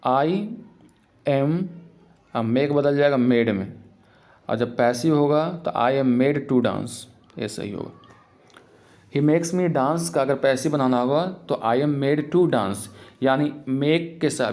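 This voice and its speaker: A man teaching English grammar for exams, explaining in Hindi and reading English example sentences out slowly. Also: mid-range voice, a little mellow. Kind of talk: exam-prep grammar lesson.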